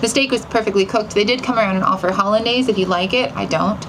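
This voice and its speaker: A woman's voice: medium pitch, fast. A woman co-hosting a travel vlog, chatting casually in an upbeat, appreciative way. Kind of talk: casual chat recapping the day. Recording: clearly noisy background ambience.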